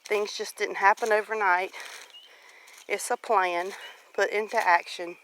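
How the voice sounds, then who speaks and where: A woman's voice: medium pitch, fairly even intonation, unhurried, a bit bright, low-quality recording. A woman in her late forties talking casually and reflectively to viewers as she walks around outside, in a devout, encouraging manner. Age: late 40s. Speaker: homestead vlogger. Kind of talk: casual reflective walk-and-talk vlog. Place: outdoors on a rural property. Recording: outdoor recording with clear background noise.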